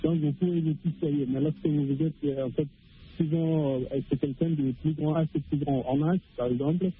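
A man from Africa calling in to a radio discussion, speaking haltingly with many restarts and fillers over a phone line. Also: a little high, fairly even intonation, faint music behind. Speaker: radio caller. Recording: tinny phone line with faint noise.

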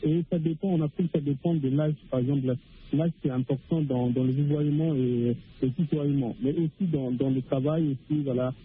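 A man from Africa calling in to a radio discussion, speaking haltingly with many restarts and fillers over a phone line. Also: on the high side, fairly even intonation, breathy edge. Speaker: radio caller. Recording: tinny phone line with faint noise.

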